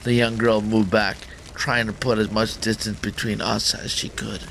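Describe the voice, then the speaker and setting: A man's voice: fairly low pitch, level tone, normal speed, vocal fry, fairly bright tone. A man hosting a gaming channel, reading a visual novel aloud as dramatic narration, with faint noise in the recording.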